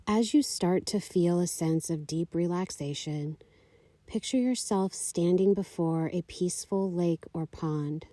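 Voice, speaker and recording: Slow, mellow woman guiding meditation. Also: lowish voice, no room sound. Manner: calm, soothing, unhurried instruction. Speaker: meditation guide. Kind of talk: guided meditation, slow scripted narration.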